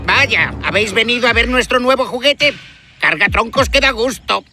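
A man voicing a bossy, mocking bird gang leader in a cartoon, with a gravelly voice. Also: extremely high pitch, animated, normal speed. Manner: commanding, mocking. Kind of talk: cartoon dub acting. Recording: loud music over the voice.

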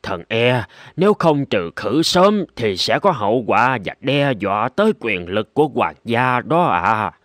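A man's voice: mid-range voice, big pitch swings, measured pace, fairly bright tone, no room sound, studio quality. A man playing a spiteful, scheming town official in a cartoon folk tale. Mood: sly, obsequious.

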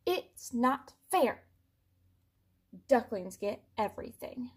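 A woman voicing an indignant, dramatic male pigeon from a picture book, complaining in an exasperated, drawn-out, emphatic way. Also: on the high side, big pitch swings, husky, full character voice.

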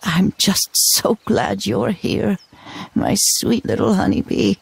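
Slow-talking, lively old country grandma. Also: female voice, mid-range voice, gravelly, husky, full character voice. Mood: tender. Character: elderly grandmother witch, affectionate, folksy, a little grumbly. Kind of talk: scripted video game acting.

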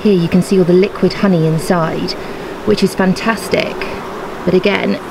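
A woman's voice: lowish voice, steady tone, normal speed, vocal fry, noisy background. A young woman hosting a casual outdoor vlog in a garden, explaining to viewers in a chatty, upbeat way. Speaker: YouTube host. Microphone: handheld camera close up through a bee veil. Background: background music.

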